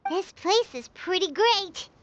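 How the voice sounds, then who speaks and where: A woman voicing a tiny, childlike fairy companion in a video game, squeaky, perky and eager. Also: expressive, takes it slowly, muffled recording, slight background noise, heavily acted. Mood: encouraging. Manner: perky, talks of self in third person. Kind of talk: scripted video game dialogue. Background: background music.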